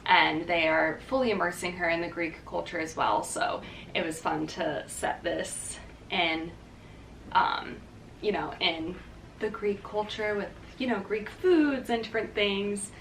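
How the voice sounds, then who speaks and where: A woman's voice: fairly low pitch, a little slow, a bit bright, distant mic, a little hiss or hum. A young woman vlogging casually to the camera, chatty and upbeat, with lots of "um" and "like". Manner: chatty and upbeat, many fillers like "um" and "like". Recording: echoey room, voice a bit off-mic.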